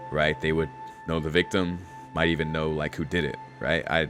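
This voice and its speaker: A man's voice: a bass voice, little pitch movement, moderate pace, over music. A young man giving casual game commentary as a streamer, musing in a laid-back, slangy way and reading text aloud. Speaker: streamer playing a visual novel.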